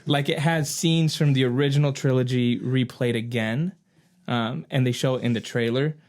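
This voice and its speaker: A man's voice: medium pitch, moderate pace, darkish tone. A man hosting a casual pop-culture podcast, chatting in an informal, conversational way. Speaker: podcast host.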